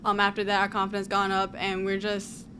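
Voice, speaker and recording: A woman's voice: mid-range voice, completely flat delivery, brisk. A young adult woman, a college basketball player, giving brief, hesitant answers into a table mic in a press room. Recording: noisy room ambience.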